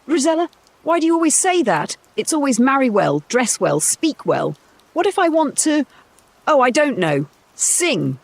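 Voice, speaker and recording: A man's voice: very high voice, very animated, moderate pace, gravelly, dry recording, voice pushed up, heavily acted. A man voicing spoiled, whining young noble stepsisters, petulant and theatrical, in a storybook. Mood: indignant.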